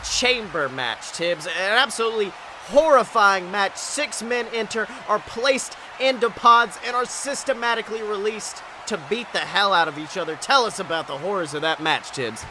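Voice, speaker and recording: A man playing an excitable, folksy wrestling announcer, giving theatrical, emphatic play-by-play commentary. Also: high-pitched, normal speed, few pauses, noisy background. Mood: hyped, dramatic. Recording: music behind the voice.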